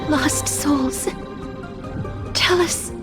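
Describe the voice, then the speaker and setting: An actress playing a gentle, composed, frail mystical woman in a video game, speaking softly and formally. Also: high-pitched, very slow, loud music behind the voice. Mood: solemn, hushed. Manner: soft, solemn, formal, partly breathy.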